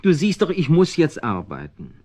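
A man's voice: fairly high pitch, huge pitch swings, normal speed, warm tone. A man playing a busy, testy writer who grumbles reluctantly, in a children's radio play. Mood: grudging, concerned. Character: grumbling, busy male writer, the cat's exasperated owner. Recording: dull old vinyl record transfer.

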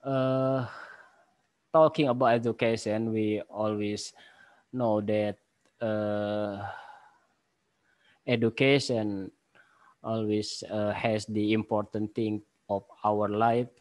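A man in his forties with an Indonesian accent, giving a conference paper over a video call in halting English full of pauses and fillers. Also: on the low side, level tone, darkish tone, no room sound, low-quality recording. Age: 40s. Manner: halting, many fillers and restarts. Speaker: university lecturer presenting a paper. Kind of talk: conference presentation. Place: home office with bookshelves. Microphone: laptop webcam on video call.

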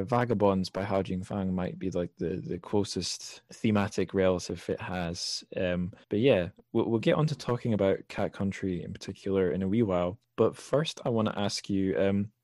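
A man with a fairly low voice talks as a podcast host. His delivery is informal and rambling, with a self-deprecating streak. The sound is dry and close. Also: darkish tone, no room sound. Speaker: podcast host interviewing a guest. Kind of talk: casual, rambling podcast talk.

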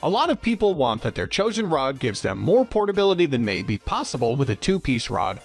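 A man's voice: mid-range voice, big pitch swings, brisk, slightly rough, soft background music. A man reading a scripted product review as narrator.

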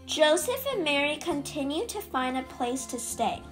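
A woman's voice: high-pitched, animated, moderate pace, faint music behind. A woman reading scripted narration for a children's Bible puppet show.

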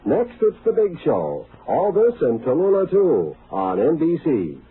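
A man's voice: on the high side, wildly swooping pitch, unhurried, breathy edge. A man reading network promotions in a 1950s radio announcer style. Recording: muffled, fuzzy old radio recording.

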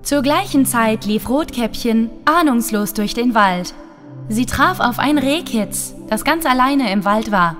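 Woman storyteller, children's fairy-tale narration, slightly high pitch, music underneath. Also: expressive, unhurried, a bit bright.

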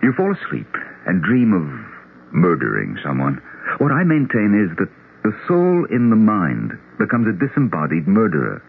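An actor playing a grieving, obsessed psychiatrist in a radio drama, explaining himself with deliberate, halting intensity. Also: male voice, fairly low pitch, vocal fry, exaggerated voice. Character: grieving psychiatrist, earnest, obsessed with his theory. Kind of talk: 1970s radio drama acting. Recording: tinny, noisy old radio broadcast.

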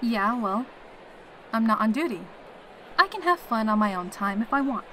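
A woman as a brash, breezy pony soldier, higher-pitched. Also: lively intonation, normal speed, sharp tone, a little hiss or hum, low-quality recording. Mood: casual, breezy. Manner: breezy, teasing, cheerful. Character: brash, carefree female pegasus soldier on leave. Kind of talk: fan audio drama dialogue.

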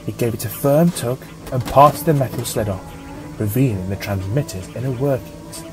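A man narrating a scripted story as an audiobook reader, with a measured storytelling manner. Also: medium pitch, music in the background, rough recording.

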